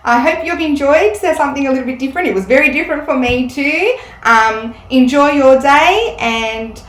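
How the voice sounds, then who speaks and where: A woman's voice: high-pitched, animated, moderate pace, a bit bright. Chatty woman in a roomy kitchen. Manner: chatty, explains step by step with frequent ums. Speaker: Italian teacher. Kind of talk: casual cooking demonstration. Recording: roomy sound, mic at a distance, faint room noise.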